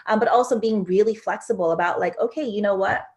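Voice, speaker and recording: A woman's voice: mid-range voice, fast, a little mellow, muffled recording. A woman hosting a podcast, chatting casually and asking questions, often saying "like.". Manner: chatty, filler "like". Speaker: podcast host. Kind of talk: casual interview chat.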